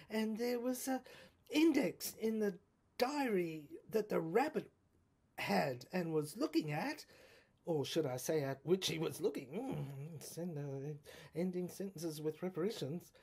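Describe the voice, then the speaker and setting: A woman narrating a first-person audio drama in a halting, musing way, repeating words and breaking into exclamations. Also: mid-range voice, darkish tone, slightly rough.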